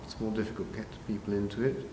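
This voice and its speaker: Deep-voiced older man reminiscing in echoey hall. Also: level tone, fast talker, warm tone. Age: 50s or older. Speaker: interviewee, long-time pantomime band member. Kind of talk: unscripted reminiscing. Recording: echoey hall, long reverb, faint noise.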